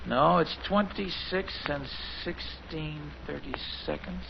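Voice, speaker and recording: A man's voice: a little high, slow pace, vocal fry. A man playing a boastful, fumbling middle-aged suburban dad and amateur handyman in 1950s American radio sitcom style. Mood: patient, muddled. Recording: noisy, muffled 1950s radio recording.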